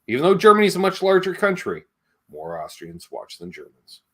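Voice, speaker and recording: A man's voice: on the high side, huge pitch swings, brisk, sharp tone. A man hosting a livestream in a casual, chatty way, wandering between asides and viewer comments. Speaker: livestream show host.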